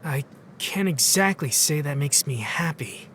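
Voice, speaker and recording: A man's voice: fairly high pitch, animated, measured pace, fairly bright tone, slight background noise. A man playing a weary, wry teenage boy who narrates his night in a visual novel fan dub, dry and matter-of-fact. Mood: dry, wary.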